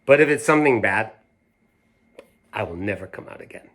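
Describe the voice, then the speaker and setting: A man reading a storybook as an indignant, stubborn little girl, speaking emphatically and deliberately. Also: lowish voice, expressive, a bit bright. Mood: stubborn, dramatic. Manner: emphatic, deliberate, self-righteous. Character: indignant, stubborn, dramatic little girl narrating her grievances.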